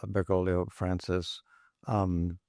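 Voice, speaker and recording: A man with a fairly low voice talking in a relaxed podcast conversation. He thinks aloud, restarting phrases and trailing off. The sound is dry and close. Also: no room sound. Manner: thoughtful, halting, many restarts. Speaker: podcast panelist. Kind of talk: casual discussion. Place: studio in Rome.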